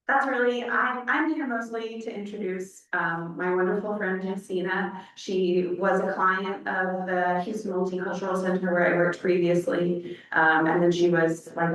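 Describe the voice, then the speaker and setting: A woman with a slightly low voice gives an informal talk in a heavily reverberant church. She sounds earnest and a little halting, and the microphone picks her up from a distance. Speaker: volunteer speaker introducing a friend. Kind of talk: informal personal talk to a congregation. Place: large indoor church. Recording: echoey church hall, distant mic.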